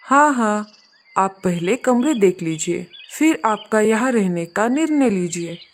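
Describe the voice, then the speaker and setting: A woman's voice: medium pitch, animated, a little slow. A woman voicing a polite, earnest male crow who runs a hotel in a children's cartoon story.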